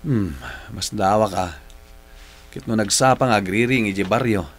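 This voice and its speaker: A man playing an easygoing husband and protective older brother in a radio drama, casual and unhurried, with an Ilocano accent. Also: on the low side, natural voice.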